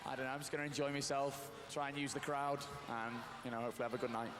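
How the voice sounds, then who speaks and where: A man with a Liverpool accent, laid-back and quick as a game show contender. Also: mid-range voice, fairly even intonation. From northwest England. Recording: echoey noisy arena.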